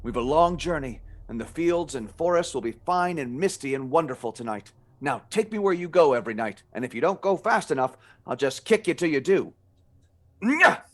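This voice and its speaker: Bold, swooping young hero. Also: male voice, a little high, animated, normal speed. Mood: triumphant. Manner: decisive, dramatic. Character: bold, determined brother plotting against a witch. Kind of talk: radio play acting.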